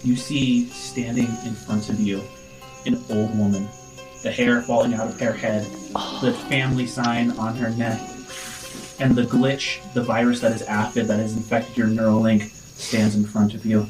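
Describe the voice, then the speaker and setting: A man working as game master, improvising dramatic tabletop narration in halting phrases. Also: on the low side, level tone, a little mellow, faint music behind, poor audio.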